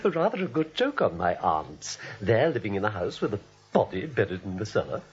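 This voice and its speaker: An actor playing a coldly domineering criminal with a deliberate menacing manner, in 1940s radio comedy style. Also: male voice, on the low side, sing-song. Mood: scheming. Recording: dull old radio recording.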